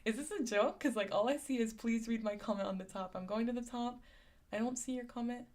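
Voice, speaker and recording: A woman's voice: fairly high pitch, a little fast, darkish tone. A young woman from San Diego with a lisp, chatting casually on a live stream, reading chat comments aloud and laughing often. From San Diego, USA.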